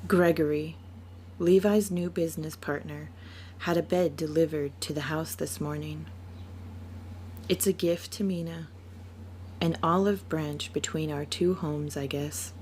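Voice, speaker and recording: A woman writer speaking carefully and deliberately, like an amateur reading her own work aloud. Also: low-pitched. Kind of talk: amateur announcement and audiobook narration. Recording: clear steady background noise.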